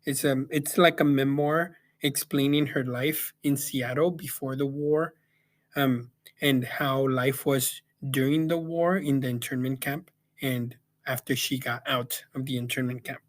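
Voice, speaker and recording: A young man from El Salvador giving a casual spoken book review, pausing often with ums and restarting his sentences. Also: mid-range voice, fairly even intonation. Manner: hesitant, many ums and restarts. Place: Yakima, Washington.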